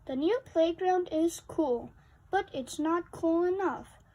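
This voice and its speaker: A woman's voice: very high-pitched, slow, mellow. A young girl reading a children's picture book aloud expressively, acting out the sound effects with emphasis. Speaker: storybook reader.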